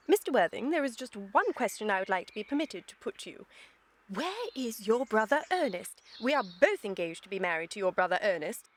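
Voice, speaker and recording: A woman's voice: on the high side, slight background noise. A woman playing a haughty, sophisticated young Victorian society lady in a radio comedy, with formal, theatrical diction. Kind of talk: scripted radio comedy acting.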